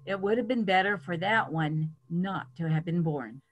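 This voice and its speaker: A woman's voice: lowish voice, moderate pace. A woman giving a dramatic reading of scripture as a church reader.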